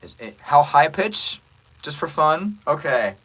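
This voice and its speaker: A man's voice: on the high side, big pitch swings, unhurried, a bit bright, a little hiss or hum, rough recording. A man who works as a voice actor, hosting a casual livestream and politely answering viewer comments with self-deprecating asides.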